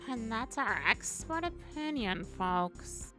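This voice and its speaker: An actress playing a dry, exasperated radio co-host who drops deadpan comic interjections. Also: female voice, on the high side, slow pace, soft background music, full character voice. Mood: wry. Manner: deadpan, wry. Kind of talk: comedic radio show interjections.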